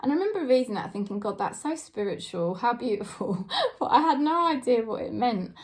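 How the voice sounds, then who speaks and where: A woman in her forties with a British accent, giving a casual tarot reading to camera and talking in a chatty, hesitant way with many fillers and soft laughs. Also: fairly high pitch, sing-song, a little fast. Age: 40s. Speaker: tarot reader.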